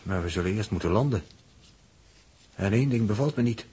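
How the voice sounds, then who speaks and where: A man's voice: lowish voice, expressive, mellow, husky. An actor playing a cautious, pedantic spaceship crewman with a Netherlands Dutch accent, speaking in formal, pondering 1960s radio drama style. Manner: formal, deliberate wording, pondering.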